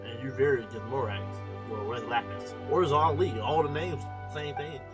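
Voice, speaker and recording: A man's voice: mid-range voice, fairly even intonation, moderate pace. A man giving casual live commentary on a game, informal, slangy and playful. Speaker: gaming streamer reacting to a story quest. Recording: game music behind the voice. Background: game music.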